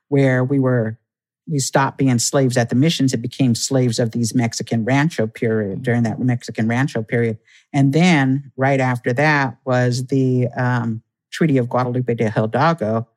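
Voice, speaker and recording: An earnest man is an interview guest who lays out his points in a steady, explanatory way. His voice sits at a middling pitch for a man, and he speaks calmly and with conviction. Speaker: guest, Native community organizer. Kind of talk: conversational interview.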